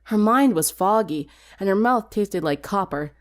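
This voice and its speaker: A woman's voice: mid-range voice, expressive, unhurried. A woman reading a scripted story aloud as a dramatic narrator. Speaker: fan fiction narrator.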